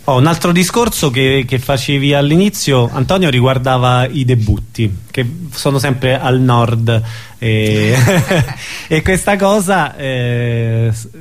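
A man hosting a radio interview casually, with halting, hesitant questions. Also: mid-range voice.

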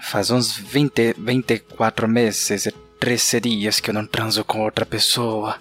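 A man's voice: fairly low pitch, little pitch movement, takes it slowly, a bit bright. An actor playing a short, insecure, embittered Bolivian man in an audio drama, speaking Portuguese with a Spanish accent and a self-pitying manner. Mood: bitter, resigned. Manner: self-pitying inner monologue mixing in Spanish words. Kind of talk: audio drama character acting. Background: music bed.

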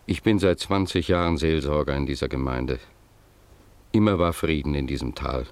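Grave pastor, very low pitch, solemn, admonishing, measured, middle-aged, radio drama. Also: male voice, darkish tone, no room sound. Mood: stern, reproachful. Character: grave, morally earnest male village pastor. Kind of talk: German radio play acting.

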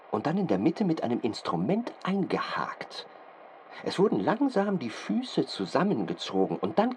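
A man playing an earnest, fastidious doctor who gives detailed expert testimony in a deliberate, halting, precise manner. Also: medium pitch, a little hiss or hum. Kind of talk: period-drama studio interview, detailed account.

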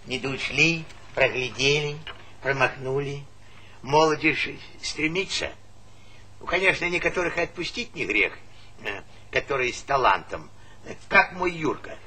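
An actor playing a folksy, fussing old village grandad who coaxes and nags in a Soviet radio play. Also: male voice, medium pitch, animated, a little slow, sharp tone. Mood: sly, needling. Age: older. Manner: folksy, coaxing, nagging repetitions. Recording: noisy 1970s radio drama recording.

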